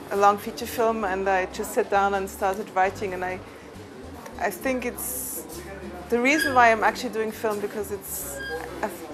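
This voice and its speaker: A woman filmmaker who grew up in Switzerland, speaking hesitantly and searching for words as she tells her story. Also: medium pitch. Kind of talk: interview testimony.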